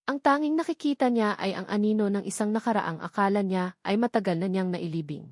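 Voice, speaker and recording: A woman's voice: medium pitch, fairly quick, darkish tone, dry recording, studio quality. A woman with a Filipino accent reading a scripted romantic drama as a storyteller. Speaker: audio drama narrator.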